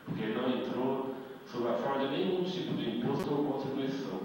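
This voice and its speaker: A man's voice: medium pitch, animated, fast talker, a little mellow, slight background noise. Middle-aged Brazilian man lecturing in an echoey hall. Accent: Brazilian Portuguese. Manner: explanatory, asks rhetorical questions and tags with "né?". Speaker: invited speaker. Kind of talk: lecture at a debate event. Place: indoor meeting hall. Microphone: handheld mic, filmed by a far camera. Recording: echoey hall audio from far off.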